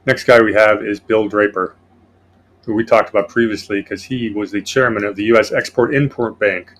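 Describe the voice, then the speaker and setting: Low-voiced man reading through political notes. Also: steady tone, normal speed, a bit bright. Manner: sardonic, reads notes aloud. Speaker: podcast host. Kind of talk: casual political discussion.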